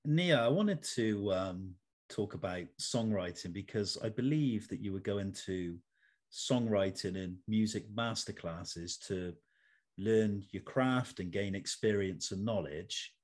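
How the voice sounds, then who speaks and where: Low-voiced man hosting an interview. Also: animated, measured pace, a little mellow. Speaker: interview host. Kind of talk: interview questions, prepared and formal.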